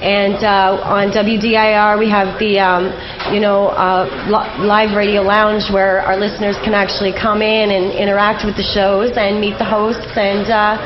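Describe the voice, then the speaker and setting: A woman's voice: medium pitch, flat delivery, normal speed. A woman hosting a casual radio interview in a chatty, conversational way. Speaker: radio show host. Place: live radio lounge with people around. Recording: muffled, noisy live room sound.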